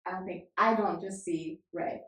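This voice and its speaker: A woman co-hosting a podcast as a family therapist, telling stories in a lively way and laughing often. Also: lowish voice, normal speed. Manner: animated storyteller, laughs often. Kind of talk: casual podcast chat. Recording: echoey room.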